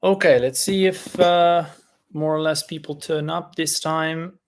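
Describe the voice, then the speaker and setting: A man who is a native Italian speaker, hosting a casual live-stream lesson, talking in a chatty, halting way with many fillers. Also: on the high side, steady tone, a little hiss or hum.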